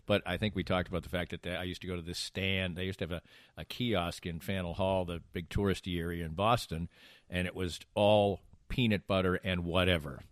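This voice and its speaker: A man's voice: deep voice, fairly even intonation, brisk, no echo, clean studio sound. A middle-aged American man in casual podcast banter, telling anecdotes in a rambling way. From Massachusetts, USA. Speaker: podcast co-host.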